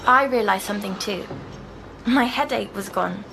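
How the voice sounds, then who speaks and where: A woman playing a timid, dreamy young girl patient who recounts her nightmares in a hushed audio drama. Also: mid-range voice, moderate pace, creaky, background noise, lightly acted. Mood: hushed wonder.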